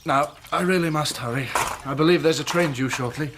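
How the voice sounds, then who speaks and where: An actor playing a shaken young Victorian engineer in a radio drama, formal, polite and wryly aggrieved. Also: male voice, fairly high pitch, brisk, background noise, natural voice. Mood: polite, hurried. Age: young adult.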